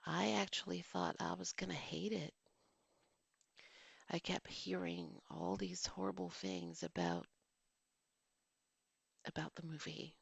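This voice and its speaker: A woman's voice: lowish voice, expressive, a little slow, creaky, long pauses, breathy edge, no room sound, highs cut off. A woman in her forties, a vlogger and teacher, reads a written movie review and drifts off into casual, digressive asides. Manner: digressive, reads formal sentences then drifts into casual remarks. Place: home.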